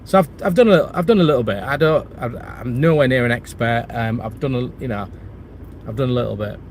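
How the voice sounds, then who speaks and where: A man's voice: mid-range voice, brisk. Guy with a cold, rambling live chat, bit of traffic noise behind. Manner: rambling, many restarts and fillers, candid. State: has a cold and chest infection. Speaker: property channel host. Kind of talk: casual livestream chat reading viewer comments.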